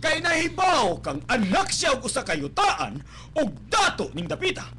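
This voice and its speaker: A man's voice: extremely high pitch, huge pitch swings, moderate pace, pushed and bright, exaggerated voice. A man acting a rich, snobbish, scolding father with a Cebuano accent in a melodramatic radio drama. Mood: scornful. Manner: haughty, scolding, explosive. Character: rich, snobbish father scorning daughter's poor suitor. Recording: noisy live-stream radio capture with hum.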